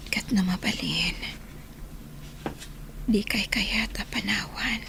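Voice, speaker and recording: A woman's voice: mid-range voice, a little hiss or hum. A woman acting a tender, ailing woman in an Ilocano-accented radio drama, speaking in a weak, whispery, pleading voice. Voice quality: breathy, whispery.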